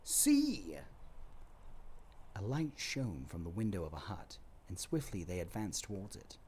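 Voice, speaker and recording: A man narrating a scripted audio play as storyteller, in a dramatic, expressive manner. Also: deep voice, moderate pace, a little hiss or hum.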